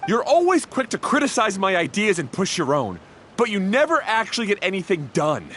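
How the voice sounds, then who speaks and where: A man voicing an irritable, self-important brother in a video game, indignant and quick to bicker. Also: high-pitched, wildly swooping pitch, fairly bright tone, a little hiss or hum, full character voice. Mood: combative. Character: irritable, boastful bickering brother named Ferdinand. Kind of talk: English video game voice acting. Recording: game music behind the voice.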